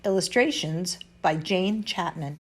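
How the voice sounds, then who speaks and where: A woman reading a children's picture book aloud in an animated, deliberate storytelling manner with playful emphasis. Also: on the low side, background noise. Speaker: storybook reader.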